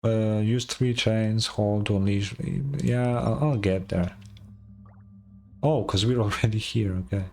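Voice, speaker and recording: A man's voice: on the low side, steady tone, unhurried, a little mellow. A man giving casual gameplay commentary as a streamer, chatting to himself between lines of dialogue. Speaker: streamer voicing game dialogue.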